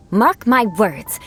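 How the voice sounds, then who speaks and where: A woman's voice: a little high, full character voice. A woman playing a haughty, prickly young noblewoman swordfighter, formal and theatrical, in anime game style. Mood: haughty, menacing. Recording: music under the voice.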